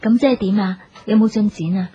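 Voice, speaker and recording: An actress playing a concerned, reasonable younger sister, earnest and conciliatory in a family radio drama. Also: female voice, mid-range voice, steady tone, brisk, mellow, slightly rough, natural voice. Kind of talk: Cantonese radio drama acting. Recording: dull radio drama recording.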